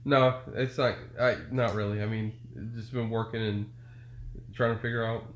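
A man's voice: fairly low pitch, flat delivery, moderate pace. A man co-hosting a casual podcast, congested from a cold and talking in an easygoing, joking, rambling way. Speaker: podcast co-host. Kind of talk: casual chat. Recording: echoey room with faint noise.

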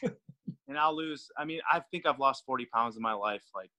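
A man's voice: medium pitch, brisk, a loud crowd. A man, a throwing coach, chatting casually and often repeating his words as he talks. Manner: stammering, repeats words, laughs easily. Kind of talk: casual podcast chat.

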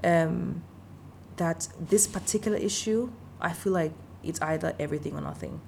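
Woman, low voice, grew up in Denmark, emphatic, passionate, casual talk, slight noise. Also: moderate pace, gravelly, a little mellow. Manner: passionate, emphatic, repeats herself. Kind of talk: casual conversation.

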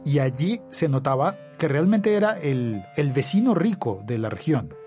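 A man's voice: fairly high pitch, sing-song, moderate pace, warm tone, faint music behind. A man with a Bogotá Colombian accent hosting a conversational podcast interview, telling things in a reflective, storytelling way. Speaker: podcast host.

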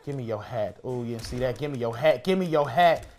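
Man, medium pitch, blunt opinions, repetitive emphasis, informal gaming podcast talk. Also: lively intonation, fairly quick, darkish tone. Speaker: podcast guest or panelist. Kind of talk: casual chat while playing a video game.

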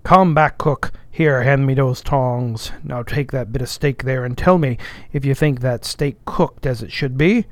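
A man's voice: medium pitch, measured pace, no room sound, close to an everyday voice. A man voicing a bossy ship's officer who teases and commands with mock solemnity in an audiobook. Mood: commanding. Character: bossy, mocking ship's officer, jauntily interrogating. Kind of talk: audiobook character voice.

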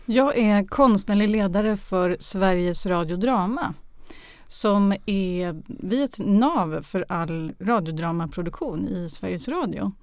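A woman's voice: mid-range voice, no echo, clean studio sound. A woman answering interview questions hesitantly, searching for words. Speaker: guest, radio drama artistic director.

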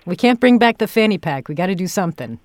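A woman director hosting a casual podcast interview, thinking aloud in halting phrases. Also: on the low side, sing-song, a little hiss or hum. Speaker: audio drama director and interviewer.

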